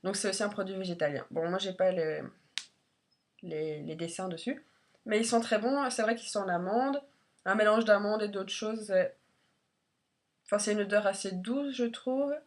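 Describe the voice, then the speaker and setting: A woman's voice: medium pitch, normal speed, long pauses. A woman with a congested, head-cold voice chats informally and a little hesitantly as she reviews products in a casual beauty vlog. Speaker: beauty vlogger.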